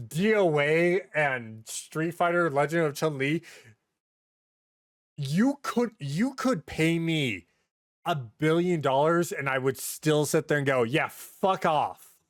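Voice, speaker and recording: A man's voice: fairly high pitch, lively intonation, slow pace, no room sound, studio quality. A man chatting casually on a comedy podcast, given to exasperated, sweary rants. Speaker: podcast host.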